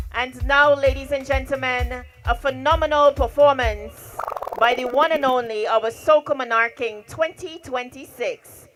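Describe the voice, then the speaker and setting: A woman's voice: high voice, fairly bright tone. A woman with a Guyanese accent emceeing a live show at an outdoor stadium, rallying the crowd in formal announcer phrasing over a handheld mic. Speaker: event emcee.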